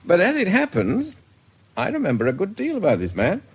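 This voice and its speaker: Animated, husky British police official. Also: male voice, sits high, fairly quick, exaggerated voice. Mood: brisk, helpful. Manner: chatty, clipped sentences with bursts of amusement. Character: jovial, brisk police records official, a man. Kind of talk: British radio drama acting.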